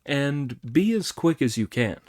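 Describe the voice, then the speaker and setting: A man reading an audiobook, voicing a gentle, mild male monk who leads his disciples. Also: mid-range voice, very animated, measured pace, pitched above the performer's own voice.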